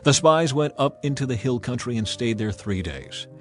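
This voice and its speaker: Guy narrating the bible, solemn and measured storytelling, mid pitch, soft music under it. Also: expressive. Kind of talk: dramatized scripture narration.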